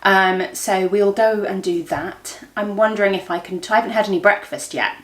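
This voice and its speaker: A woman's voice: medium pitch, moderate pace. A middle-aged woman chatting casually to camera in an informal, rambling vlog style, often thinking aloud. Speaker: vlogger and host of a daily family and craft vlog. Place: indoors at home. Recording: roomy, echoey indoor room.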